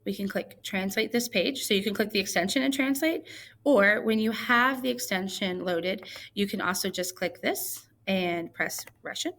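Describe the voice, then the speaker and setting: A woman teacher from Missouri walking viewers through a software tutorial in a chatty, explaining way with frequent fillers. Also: medium pitch, moderate pace. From Missouri, United States. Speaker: ELL coordinator and teacher. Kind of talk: screen-recorded tutorial.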